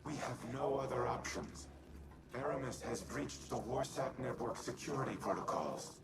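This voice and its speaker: A man playing a noble, stoic artificial intelligence in a video game cutscene, speaking formally and deliberately. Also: mid-range voice, a loud crowd, close to an everyday voice. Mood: solemn, resigned. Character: solemn, noble male artificial intelligence war machine. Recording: distant voice under loud effects.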